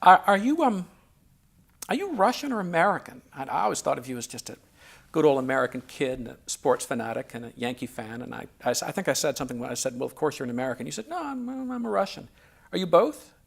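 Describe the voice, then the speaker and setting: A man hosting a relaxed interview, asking questions with frequent pauses, ums and restarts. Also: on the high side, gravelly. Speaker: interviewer and host.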